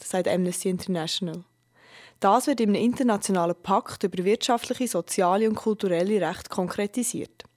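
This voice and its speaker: A female radio reporter, reading a scripted news feature in a measured, even way. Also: mid-range voice.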